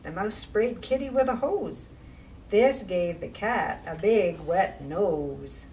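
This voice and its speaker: A woman reading to young children as a library storytime reader, with measured, expressive phrasing and casual asides. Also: mid-range voice. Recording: distant mic with faint background noise.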